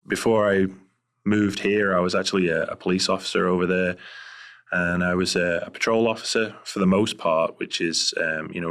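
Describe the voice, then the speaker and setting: A middle-aged man from Liverpool with a Scouse accent, talking through his career as an interview guest in a relaxed way, with many fillers. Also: deep voice. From Liverpool, England. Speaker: guest, private investigator. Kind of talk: casual interview talk.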